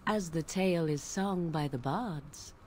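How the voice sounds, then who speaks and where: An actress playing an aloof, sardonic young witch in a video game, speaking in formal, archaic, measured phrases. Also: low voice, mellow, a little hiss or hum. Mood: measured storytelling. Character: aloof, sardonic young witch of the wilds, raised an outcast apostate. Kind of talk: dramatic video game voice acting.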